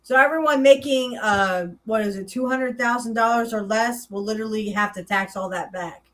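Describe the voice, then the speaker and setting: A woman's voice: fairly high pitch, normal speed, fairly bright tone. A woman in her late thirties on a casual panel show, who talks in a blunt, profane and sarcastic way. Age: 30s.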